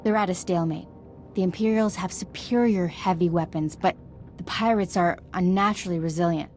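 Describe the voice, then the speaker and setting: A woman playing an earnest, composed Jedi ally in a video game, formal and measured in manner. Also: lowish voice, a little mellow, close to an everyday voice. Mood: briefing. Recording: music behind the voice.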